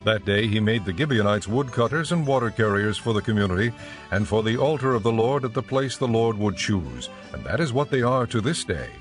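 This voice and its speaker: A man giving a measured, solemn scripted narration for a dramatized audio Bible. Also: lowish voice, expressive, soft background music.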